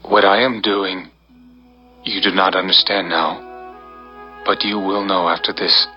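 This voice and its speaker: A solemn holy teacher with a lowish voice and a very slow, deliberate delivery. He speaks with quiet authority in an audio Bible drama. Music plays clearly behind him. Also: steady tone. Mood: gentle, firm. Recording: dull, band-limited audio with music behind.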